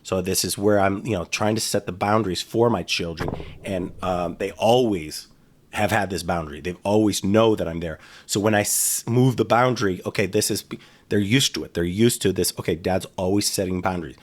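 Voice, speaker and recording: A man's voice: low voice, normal speed. A middle-aged man talking casually in an interview, rambling with frequent restarts and fillers. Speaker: guest, former FBI agent.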